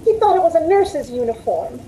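A woman's voice: sits high, sing-song, a little fast, warm tone, a little hiss or hum, poor audio. A woman playing a frightened, pleading young woman in an old-time radio suspense drama, with an anxious, dramatic delivery. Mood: tense narrating. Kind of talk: old-time radio suspense acting, first-person narration. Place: indoors at home on a video call.

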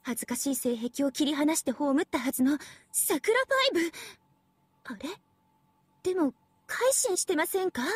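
A woman's voice: high-pitched, expressive, normal speed, darkish tone, dry recording. An actress playing a smug, teasing young AI devil girl in an anime game, with a sweet girlish voice and a playful, mock-polite, condescending manner. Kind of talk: Japanese anime game voice acting.

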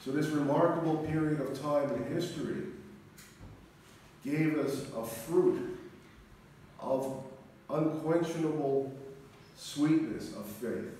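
A middle-aged man, an Orthodox priest, preaching a sermon in a solemn, deliberate manner with measured pauses. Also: on the high side, dark tone. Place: large church interior, speaking from the front. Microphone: distant camera microphone. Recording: echoey church, distant mic, faint noise.

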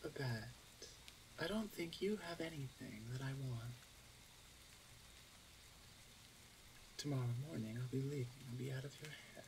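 A woman's voice: a bass voice, fairly quick, background noise. A woman playing a stern, suspicious younger orc huntress in an ASMR roleplay, speaking in a curt, exasperated manner. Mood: wary. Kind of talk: ASMR roleplay audio drama.

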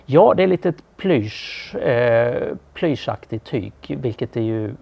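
Gravelly-voiced Swedish man presenting cars. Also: medium pitch, highs cut off. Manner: knowledgeable, relaxed, with frequent fillers. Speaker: car auction presenter. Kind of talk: casual studio chat. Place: small studio with a brick wall.

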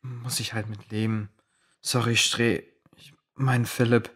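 A man's voice: medium pitch, little pitch movement, measured pace, a bit bright, husky. A man playing an awkward, defensive teenage boy with a casual, slangy manner in a children's audio drama. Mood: sheepish.

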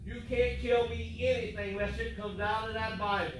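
A man from the congregation giving an emphatic, halting spoken testimony in a small church. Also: sits high, steady tone, background noise. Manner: emphatic, halting, repeats words. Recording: echoey church with congregation, far off.

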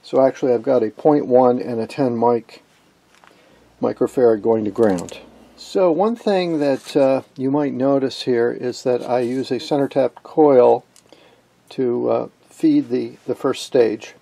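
Gravelly, mellow man giving a technical demo. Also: medium pitch. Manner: halting, matter-of-fact delivery. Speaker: presenter of electronics demonstrations. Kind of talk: unscripted technical demonstration. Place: indoors at an electronics workbench.

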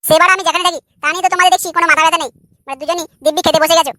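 A man's voice: extremely high pitch, steady tone, very fast, sharp tone, no room sound, heavily acted. A man voicing a jealous, sneering husband in a comic cartoon, foul-mouthed and sarcastic. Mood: outraged. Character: jealous, crude, sneering adult son and husband.

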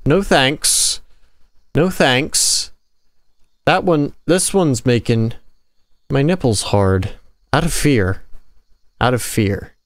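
A man in his twenties doing casual gameplay commentary and telling personal stories in an animated, rambling, conversational way. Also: mid-range voice, slow pace, pauses often, no room sound, studio quality. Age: 20s. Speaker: gaming video host. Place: small dim room at home, indoors.

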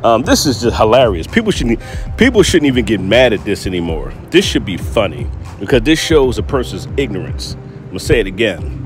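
A man's voice: fairly low pitch, sing-song, a little fast, faint music behind. A man hosting a casual reaction commentary, rambling with filler words, repeating himself and chuckling. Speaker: host of a commentary channel.

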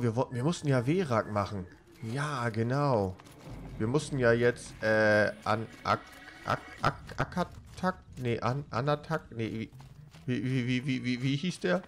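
German male let's-player with a cold; rambling, jokey, mid-pitched voice. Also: expressive, unhurried, a little hiss or hum. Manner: chatty, rambling, gets loud and jokey when panicking. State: has a cold. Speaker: let's play commentator. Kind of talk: casual gameplay commentary.

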